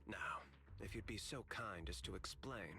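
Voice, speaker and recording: An actor playing a shrewd, calculating company man in a video game, speaking in a smooth, formal, measured and faintly theatrical way. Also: on the low side, pushed and bright, faint music behind, heavily acted. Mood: feigned surprise. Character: shrewd, calculating corporate man, politely self-serving.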